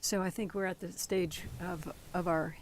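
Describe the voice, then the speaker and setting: A woman's voice: lowish voice, steady tone, normal speed, slight background noise. A woman chairing a public meeting, moving through the procedure and inviting speakers.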